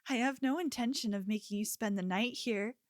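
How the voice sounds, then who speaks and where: A woman's voice: on the high side, brisk, dry recording, clean studio sound, natural voice. A woman playing an obsessive, possessive young yandere in an ASMR roleplay, speaking intimately and coaxingly, like a lover with menace underneath. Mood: sweetly menacing.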